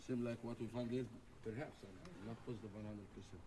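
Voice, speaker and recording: A man guiding a tour of old stone ruins, explaining the site in a measured, lecturing way. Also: lowish voice, steady tone, warm tone. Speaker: tour guide. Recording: distant mic with background noise.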